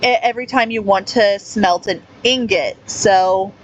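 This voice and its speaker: A woman talks through a game at a slow, unhurried pace, reading the menus aloud and stopping to restart her sentences. The recording sounds a little dull, with a faint hum underneath. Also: medium pitch. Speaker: gaming YouTuber. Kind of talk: casual gameplay commentary.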